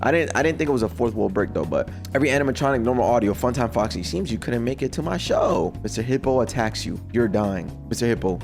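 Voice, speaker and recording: A man with a mid-pitched voice gives casual streamer reaction commentary. His talk is slangy and full of joking exasperation. Music plays behind him. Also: animated, fast talker, vocal fry, few pauses. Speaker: streamer reacting to game voice lines.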